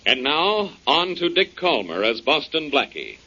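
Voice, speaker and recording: A man's voice: fairly high pitch, very animated, measured pace. A man announcing a 1940s radio show in a dramatic introductory style. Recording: muffled, very noisy 1940s radio recording.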